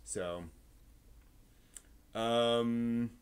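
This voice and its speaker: A man hosting a live stream in casual, halting chat, circling back over his phrases. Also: mid-range voice, steady tone. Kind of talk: casual rambling chat about anime.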